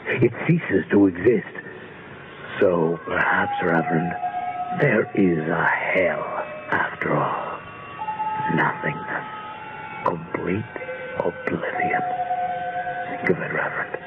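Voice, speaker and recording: An actor playing a cold, menacing soul-stealing being in a radio horror drama. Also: male voice, sits low, heavy background noise. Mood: cold, taunting. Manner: slow, menacing, deliberate. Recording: tinny lo-fi radio, loud music behind.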